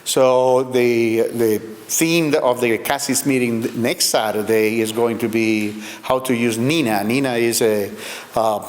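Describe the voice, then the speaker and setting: A man's voice: medium pitch, slight background noise. A man announcing an upcoming club meeting, hesitant and full of fillers. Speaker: club member announcing an event. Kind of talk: casual announcement. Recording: long hall reverb.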